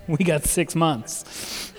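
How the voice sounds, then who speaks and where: A man's voice: on the high side, drawn-out words, slight background noise. Earnest man speaking in a hall. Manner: earnest, quotes scripture. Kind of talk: casual panel talk. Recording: reverberant hall.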